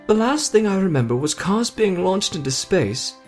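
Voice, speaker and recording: A man acts out a brash young British show-off in an anime-style ASMR roleplay. He is playful and boastful, with a lightly raised pitch and very animated, theatrical swings. There is some music behind him. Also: moderate pace. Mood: groggy, confused. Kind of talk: ASMR roleplay addressed to the listener.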